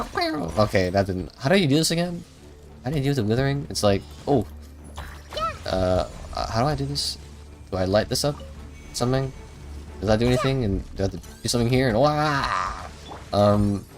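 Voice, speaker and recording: Man, low voice, swooping intonation, casual slangy game streamer, muttering, unsure. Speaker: live streamer playing a video game. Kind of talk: casual live gameplay commentary. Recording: music behind the voice. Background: game music.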